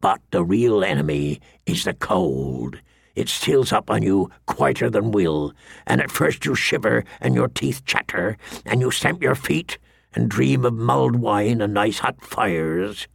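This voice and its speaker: A man's voice: on the low side, expressive, a little slow, creaky, breathy edge, no room sound, clean studio sound, pitched below the performer's own voice, heavily acted. A man voicing a grizzled, grim old man-at-arms in an audiobook, with grave, rustic, plain speech. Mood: grim, hushed.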